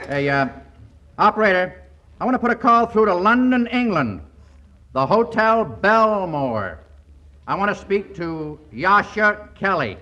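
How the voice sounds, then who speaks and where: A man's voice: sits high, sing-song, a little slow, bright, forceful tone, muffled recording, exaggerated voice. An actor playing a wisecracking, scheming wise guy with an American accent in a 1940s radio comedy. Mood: brash. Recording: faint noise, old 1940s radio broadcast.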